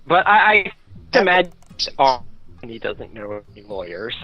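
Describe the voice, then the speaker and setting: A man's voice: mid-range voice, very animated, unhurried, faint music behind. A man chatting casually as a player in a tabletop game over a voice call. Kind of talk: casual group game chat. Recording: dry, dull lo-fi voice call.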